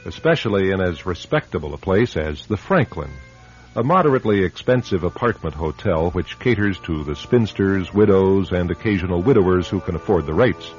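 A man hosting and narrating a radio drama, reading scripted introductions in a measured, wry way. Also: low voice, lively intonation, darkish tone, faint music behind. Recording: dull, band-limited radio recording.

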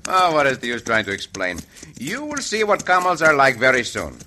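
An actor playing a gallant, patient Mexican adventurer hero in an old-time radio western, with a Mexican accent. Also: male voice, mid-range voice, wildly swooping pitch, fairly quick, sharp tone. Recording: noisy vintage radio recording.

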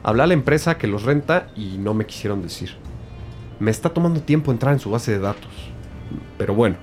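A man's voice: lowish voice, animated, fairly quick, darkish tone, faint music behind, natural voice. A man playing a matter-of-fact police tech investigator in an audio drama. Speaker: actor in a detective audio drama. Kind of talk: dramatic podcast dialogue.

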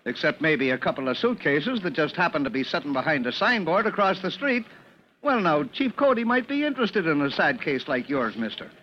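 Policeman, radio drama: folksy, wry, suspicious, very animated, dull old recording. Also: fairly high pitch, brisk, slight background noise. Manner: folksy, sarcastic.

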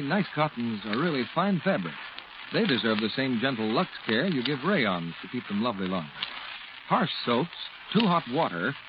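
American man, radio announcer, salesmanlike, lively intonation, middle pitch, noisy vintage broadcast. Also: dull audio. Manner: polished, salesmanlike. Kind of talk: 1940s scripted radio ad copy.

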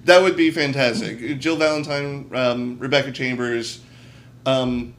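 A man's voice: mid-range voice, steady tone, unhurried, fairly bright tone. A man chatting casually as a podcast co-host, giving blunt, jokey, profane opinions in an emphatic way.

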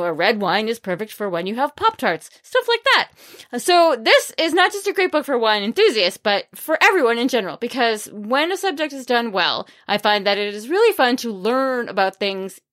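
A woman's voice: on the high side, a bit bright, no echo, clean studio sound. A woman hosting a podcast, talking casually and chattily about books with playful, wry humor. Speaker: podcast host. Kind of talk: casual, chatty solo book talk.

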